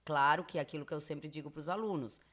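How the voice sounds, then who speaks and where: A woman with a Spanish accent, a teacher talking in a measured, explanatory way on a school podcast, with many fillers. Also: low voice, fairly bright tone.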